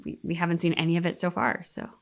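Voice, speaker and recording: A woman's voice: on the low side, completely flat delivery, quick pace. A woman co-hosting a reaction show in casual, conversational chat.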